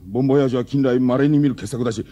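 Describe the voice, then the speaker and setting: A man playing an excitable, defensive, hapless middle-aged father in a Japanese radio drama. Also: mid-range voice, very fast, natural voice. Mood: flustered, defensive.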